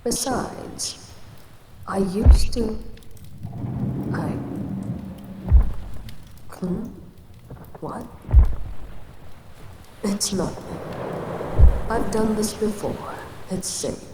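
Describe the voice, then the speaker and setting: A woman voicing a giant ancient female kaiju queen in an audio roleplay, with slow, measured, lordly speech. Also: mid-range voice. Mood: reassuring. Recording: echoey reverb with ambient noise.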